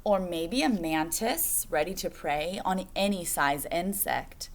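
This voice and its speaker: A woman's voice: on the low side, expressive, takes it slowly, slight background noise. A woman reading a picture book aloud to children like a teacher, phrasing expressively and putting questions to her young listeners.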